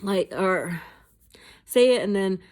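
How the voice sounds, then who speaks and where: A woman's voice: mid-range voice, animated, takes it slowly, a little mellow. A woman vlogging at home in a casual, rambling chat, swearing freely and mocking herself. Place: indoors at home.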